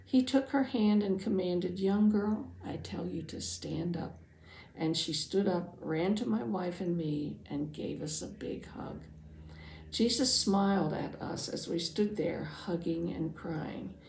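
A woman voicing a devout, anxious father who earnestly tells his Bible story, dramatically, with hushed phrase endings. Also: on the low side, a little slow, creaky, a little hiss or hum. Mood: tense, hopeful, then relieved, grateful. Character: devout anxious father, synagogue leader, telling his testimony. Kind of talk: first-person Bible storytelling for families. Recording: roomy, echoing sound.

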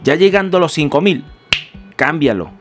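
Emphatic Venezuelan mechanic, higher-pitched voice. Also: male voice, expressive, moderate pace, faint music behind. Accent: Venezuelan Spanish. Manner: earnest, emphatic, repeatedly addressing viewers as gentlemen. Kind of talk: instructional workshop talk. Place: small home room, indoors. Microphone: headset.

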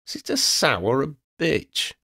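A man's voice: fairly high pitch, huge pitch swings, a little slow, sharp tone, breathy edge, dry recording, studio quality, pitched above the performer's own voice, heavily acted. A man doing a defiant young heroine. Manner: indignant, challenging. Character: young woman heroine, defiant and sharp-tongued.

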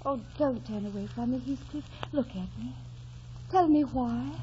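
A woman's voice: on the high side, moderate pace, dark tone, lightly acted. An actress playing an impulsive, romantic young woman in love in an old radio drama. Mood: tender pleading. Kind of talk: 1940s radio acting. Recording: muffled old radio broadcast, faint noise.

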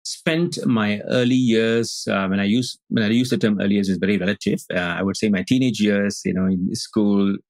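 A middle-aged man from Singapore, a teacher speaking as a podcast guest over a video call, thoughtful and halting with many restarts. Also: fairly low pitch, steady tone, darkish tone, no echo. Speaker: guest, Advaita Vedanta teacher. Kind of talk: casual interview talk. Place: indoor room on video call.

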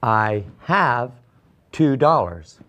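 An American man in his forties teaching English in a deliberate, enunciated way with simple scripted sentences. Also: mid-range voice, big pitch swings, fairly bright tone. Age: 40s. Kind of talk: slow scripted language lesson with simple modelled sentences. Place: small classroom set, indoors.

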